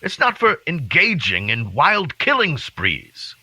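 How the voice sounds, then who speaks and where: An actor playing a stern, principled senior member of a secret society in an audio drama, formal and reproving. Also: male voice, a little high, huge pitch swings, slow, bright, forceful tone, breathy edge, dry recording, exaggerated voice.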